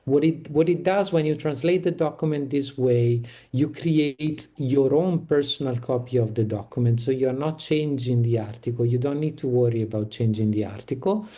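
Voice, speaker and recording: Man explaining in a mellow voice. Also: mid-range voice, moderate pace, hardly any pauses. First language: Italian. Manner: practical, explanatory, frequent restarts. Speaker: panelist on community toolkit. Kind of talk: online meeting talk.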